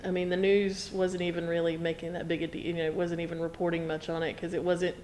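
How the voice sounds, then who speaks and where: A woman co-hosting a theatre podcast, chatting in a relaxed, conversational way. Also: fairly low pitch, quick pace, a little hiss or hum. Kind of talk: casual chat.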